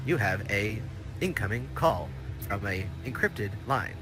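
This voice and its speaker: A man doing a courteous male starship computer, prim and formal, low and quiet. Music plays underneath. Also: expressive, a little slow. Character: polite male shipboard AI assistant.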